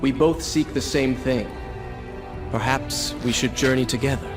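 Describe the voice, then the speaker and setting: An actor playing a proud, sardonic young Persian prince in a video game, with theatrical, formal delivery. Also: male voice, mid-range voice, unhurried, music in the background, natural voice. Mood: earnest, resolved. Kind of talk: dramatic video game acting.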